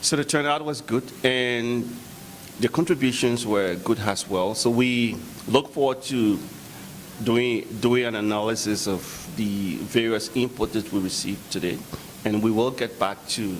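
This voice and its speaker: A man's voice: medium pitch, unhurried, slight background noise, rough recording. A man who chairs a community board, answering interview questions hesitantly and repeating words.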